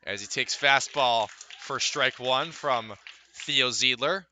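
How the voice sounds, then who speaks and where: A man calling live baseball play-by-play for radio from a ballpark, in measured phrases with pauses between plays. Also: medium pitch, pushed and bright, a little hiss or hum. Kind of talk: live radio sports commentary.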